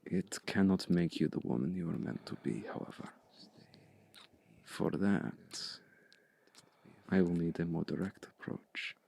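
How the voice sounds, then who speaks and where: A seductive, predatory male vampire, acted out by a man for intimate ASMR roleplay. His voice is very low and husky, with a gravelly creak. He coaxes and commands in a hushed, deliberate murmur, patient and sure of his power. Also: steady tone, heavily acted. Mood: commanding, hungry. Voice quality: low, breathy, gravelly, often slipping into whisper. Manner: deliberate, commanding, coaxing with long pauses.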